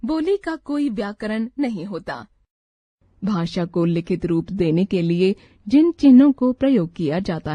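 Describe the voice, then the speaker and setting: A woman narrating a scripted grammar lesson from a textbook, reciting rules and example lists in a measured way. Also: medium pitch, no room sound, dull audio.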